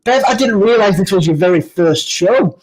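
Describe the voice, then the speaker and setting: A man's voice: high-pitched, lively intonation, normal speed, darkish tone, poor audio. A man in his fifties with a Yorkshire accent, a down-to-earth guest speaker answering interview questions reflectively. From Yorkshire, England. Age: 50s.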